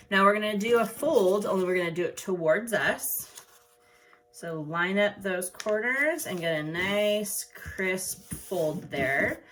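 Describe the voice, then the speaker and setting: A woman's voice: medium pitch, a bit bright. A woman art teacher talking children through a craft step by step in an informal way. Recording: noticeable background noise.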